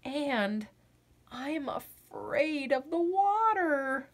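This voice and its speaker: A woman's voice: high voice, very animated, extremely slow, exaggerated voice. A woman voicing an anxious, earnest little boy frog from a picture book, hesitant and confiding. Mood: worried, confiding. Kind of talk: children's picture-book read-aloud.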